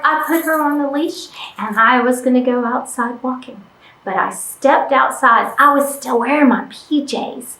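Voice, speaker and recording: Female children's storyteller, high-pitched and highly animated, playful questioning delivery, roomy sound. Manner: exaggerated, playful, addresses young children with questions. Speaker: library storytime presenter. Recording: roomy, echoing room sound.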